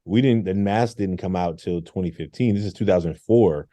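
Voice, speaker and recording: A middle-aged man, a former engineer turned teacher, telling animated stories in an interview, acting out quotes and laughing often. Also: low-pitched, normal speed, no echo. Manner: lively, acts out quotes with laughs.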